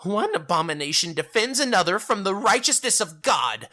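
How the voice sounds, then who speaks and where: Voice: medium pitch, very animated, moderate pace, sharp tone, pitched above the performer's own voice. A performer voicing a haughty, self-righteous male zealot in a grandiose, preaching manner for an audio drama. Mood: righteous, menacing.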